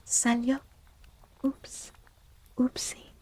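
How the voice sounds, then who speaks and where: A woman speaking softly and breathily in a gentle, unhurried near-whisper, chatting as an ASMR livestream host and often softly repeating phrases. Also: high-pitched, little pitch movement, no room sound. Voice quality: creaky. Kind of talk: soft-spoken ASMR livestream chat.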